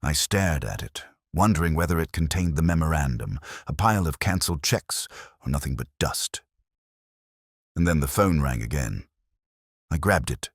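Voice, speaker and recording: A man's voice: a bass voice, lively intonation, moderate pace, slightly rough, no room sound, clean studio sound. A man narrating a hardboiled first-person detective story in the manner of an audiobook.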